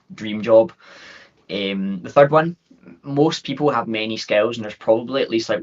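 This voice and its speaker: Scottish guy in his 30s talking quick on a video call, lowish voice, muffled audio. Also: fairly bright tone. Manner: quick, upbeat, many fillers and restarts. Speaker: guest speaker. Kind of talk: webinar presentation.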